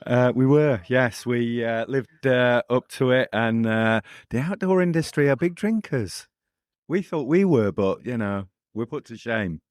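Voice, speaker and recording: A man's voice: mid-range voice, measured pace, no echo, studio quality. A British man co-hosting a casual podcast interview, hesitant and stumbling over his words. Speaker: podcast co-host. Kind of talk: casual trade show interview.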